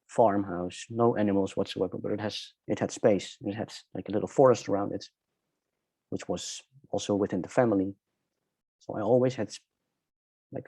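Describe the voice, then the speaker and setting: A middle-aged man from the Netherlands with a Dutch accent, telling his personal story reflectively and haltingly in conversation, searching for words. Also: fairly low pitch, gravelly, dark tone, breathy edge, no echo. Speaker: interview guest.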